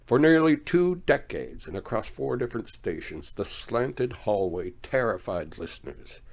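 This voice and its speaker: A man formally introducing a rebroadcast of a radio series, speaking in a measured way. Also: mid-range voice, big pitch swings. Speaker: program presenter.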